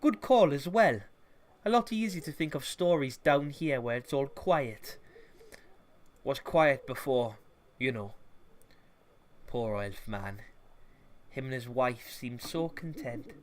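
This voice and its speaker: A man's voice: medium pitch, lively intonation, slow, long pauses. A man playing a laid-back, good-natured young storyteller in a comedy fantasy audio drama, rambling and sweary in colloquial talk. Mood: relaxed, chatty.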